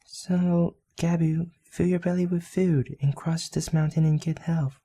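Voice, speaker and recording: A man voicing a gentle, selfless young female goat in an anime fan dub, speaking softly and tenderly. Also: fairly high pitch, little pitch movement, measured pace, breathy edge.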